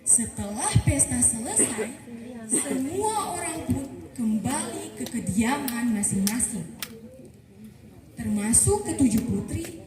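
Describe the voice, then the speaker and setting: Slow high-voiced schoolgirl storyteller. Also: lively intonation, distant mic, over a noisy crowd. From eastern Indonesia. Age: teens. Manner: slow, careful storytelling with sing-song rises. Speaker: student storyteller. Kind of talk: folktale narration. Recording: echoey room, voice set back from the mic. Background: music playing behind the voice.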